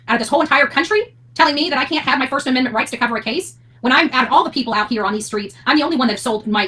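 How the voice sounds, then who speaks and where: A woman's voice: on the high side, bright, forceful tone. A woman ranting unscripted to her online audience in a defiant, indignant, emphatic manner. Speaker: online commentator covering court cases.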